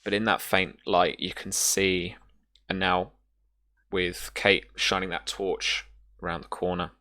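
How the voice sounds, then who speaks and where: Deep-voiced man narrating a tabletop horror game. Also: level tone, pushed and bright, dry recording. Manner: halting, repeats words while describing scenes. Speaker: game master.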